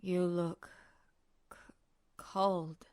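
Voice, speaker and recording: A woman voicing an exhausted, drugged man who is weak and concerned, mumbling haltingly with slurred words in an audiobook-style story. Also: fairly low pitch, little pitch movement, darkish tone, clear, resonant tone, no room sound, clean studio sound, pitched below the performer's own voice.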